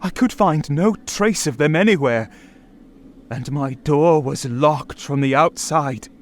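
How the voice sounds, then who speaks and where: An actor playing an anxious, imprisoned gentleman recounting horrors in a dramatic vintage radio style. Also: a little high, takes it slowly. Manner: dramatic, haunted, emphatic. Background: dramatic music.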